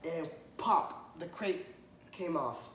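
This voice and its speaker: A man reading a children's picture book aloud to a child, stopping to point at the pictures and ask questions, and often clearing his throat. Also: fairly high pitch, expressive, takes it slowly. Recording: echoey room, faint background noise.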